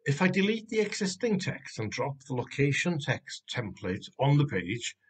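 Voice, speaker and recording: A man in his sixties with a Welsh accent, his voice slightly husky and mid-pitched. He explains things in a measured, steady way, now and then restarting a phrase, like a presenter walking viewers through a screen tutorial from his desk at home. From Wales. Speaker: Wikimedia manager presenting a tutorial. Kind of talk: screen-recorded tutorial narration. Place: home room, indoors.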